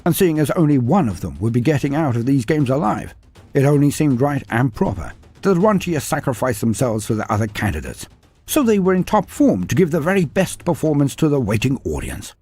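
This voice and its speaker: A man with a husky voice reading a fantasy tale in a dry, wry storytelling manner. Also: mid-range voice, big pitch swings, a little fast, mellow. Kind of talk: scripted fantasy narration.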